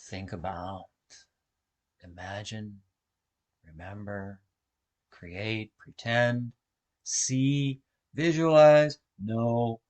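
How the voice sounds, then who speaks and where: A man leading a guided meditation as instructor, speaking gently in short, measured phrases with long pauses between them. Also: fairly low pitch. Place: online video call session.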